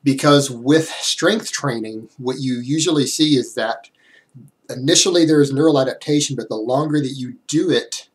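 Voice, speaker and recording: A man in his thirties, a little hoarse from a cold, explaining fitness science directly to a webcam in a small room at home. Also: medium pitch, moderate pace. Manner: direct, explanatory, talks with hands. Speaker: fitness YouTuber. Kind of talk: explanatory vlog to camera.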